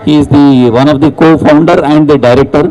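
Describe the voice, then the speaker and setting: Man, forties, Indian accent, fairly high voice, slow halting pace, informal promotional speech, handheld mic. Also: flat delivery, a little mellow, low-quality recording. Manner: halting, switches languages mid-sentence. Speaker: store representative giving a launch speech. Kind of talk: informal promotional speech mixing English and Telugu. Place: open atrium of a shopping mall, on stage. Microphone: handheld microphone.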